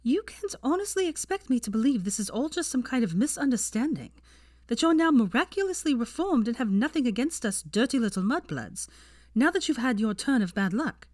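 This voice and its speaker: Woman doing a snippy teen witch girl, scornful and sarcastic, pitched up, audiobook style, dry sound. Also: big pitch swings, brisk, darkish tone, no room sound. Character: indignant, sarcastic teenage witch girl, sharp-tongued. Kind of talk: fan fiction audiobook character dialogue.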